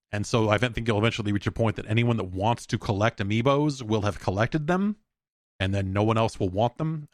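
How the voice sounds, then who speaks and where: A man's voice: fairly low pitch, no room sound, clean studio sound. A man hosting a podcast solo, chatting casually in a rambling, opinionated, profane way. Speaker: podcast host answering listener mail.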